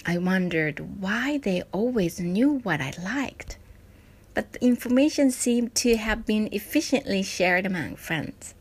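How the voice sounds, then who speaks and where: A woman's voice: medium pitch, expressive, a little slow, slightly rough. Woman. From Japan. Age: 40s. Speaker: presenter of a personal parenting essay. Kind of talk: scripted personal narration.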